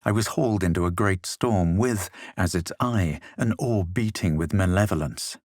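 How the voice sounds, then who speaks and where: An actor playing a haughty, self-assured male adventurer in a fantasy video game, speaking measured, formal scripted lines with a superior manner. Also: low-pitched, a little mellow, dry recording, clean studio sound, natural voice. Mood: grave, measured. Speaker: video game player character.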